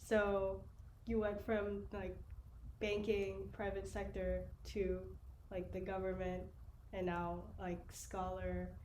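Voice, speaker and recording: Slow, hesitant woman asking questions. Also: mid-range voice, monotone, a little mellow. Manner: hesitant, uses many fillers. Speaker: podcast host. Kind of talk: casual interview questions. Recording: echoey room with faint noise.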